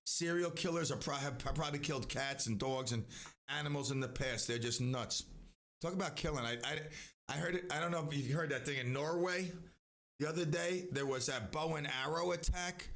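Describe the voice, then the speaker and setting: A man's voice: a little high, fairly quick, vocal fry, fairly bright tone. A man hosting a live stream, talking in a casual, rambling way with many restarts and asides.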